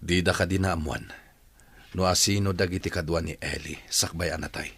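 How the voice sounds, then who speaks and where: A man's voice: sits low, fairly even intonation, moderate pace, a bit bright, husky, dry recording, exaggerated voice. An actor playing an impatient businessman searching for his lost child in an Ilocano radio drama. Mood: worried, grim.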